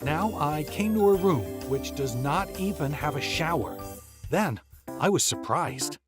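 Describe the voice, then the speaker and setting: An earnest, easily flustered young guy from a manga dub, acted by a woman. The voice sits fairly low for a woman and swings up and down with lively pitch. Clear music plays behind it. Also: measured pace. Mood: thoughtful, hesitant. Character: earnest, generous young male student smitten with a girl. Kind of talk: manga dub dialogue and first-person narration. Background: background music.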